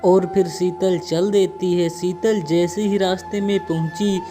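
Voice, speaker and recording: A woman's voice: lowish voice, fairly even intonation, unhurried, music in the background. A woman narrating a scripted cartoon story as its storyteller.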